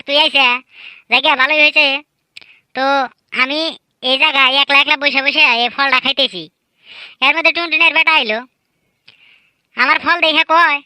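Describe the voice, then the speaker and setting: A woman doing comic cartoon voices with a rural Bangladeshi Bangla dialect, lively, boastful and teasing. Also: high voice, dry recording, poor audio. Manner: lively, boastful, teasing village-kid banter. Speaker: cartoon voice artist and narrator. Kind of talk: comedic cartoon voice acting.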